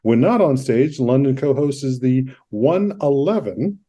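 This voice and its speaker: Guy hosting a podcast interview, mellow relaxed voice, trips over words when reading stuff out. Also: mid-range voice, a little slow, slightly rough. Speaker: podcast host. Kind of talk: introducing and interviewing a guest.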